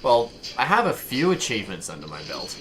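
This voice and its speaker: A man acting a cavalier, wry smuggler pilot in an audio drama, flippant and expressive in his delivery. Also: medium pitch, a little fast, slight background noise.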